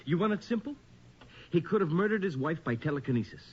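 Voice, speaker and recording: An actor playing an earnest, uneasy investigator who explains the supernatural in a grave manner in a radio drama. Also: male voice, a little high, animated, fast, slight background noise, close to an everyday voice. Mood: insistent. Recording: muffled old radio recording.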